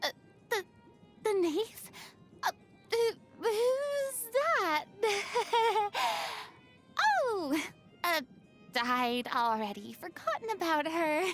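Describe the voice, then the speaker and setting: High voiced young game diva, theatrical and boastful but kinda fragile, bit of music behind. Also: female voice, animated, extremely slow, long pauses, full character voice. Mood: flustered. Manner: theatrical, boastful, prone to sighs and flustered hesitation. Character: proud, theatrical young former celebrity performer, vain yet vulnerable. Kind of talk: video game character monologue.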